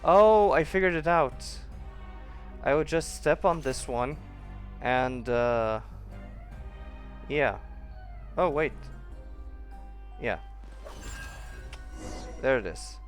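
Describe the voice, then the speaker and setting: A man's voice: medium pitch, drawn-out words, pauses often. A man streaming a game with casual commentary, muttering short reactions to himself. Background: faint game music.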